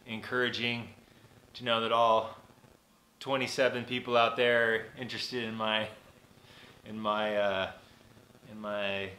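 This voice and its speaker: A man in his forties talks loosely to his live-stream viewers, full of ums and restarts. His nasal voice has a bright, forward edge. The room around him rings a little. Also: mid-range voice, reverberant. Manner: rambling, relaxed, many fillers and restarts. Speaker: vlogger and mixing engineer. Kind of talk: casual live-stream chat. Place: small home recording studio. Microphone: camera on desk.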